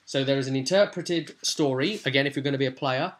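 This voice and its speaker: A man giving an informal, chatty walkthrough as a tabletop game master, with animated asides. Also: mid-range voice, fast talker.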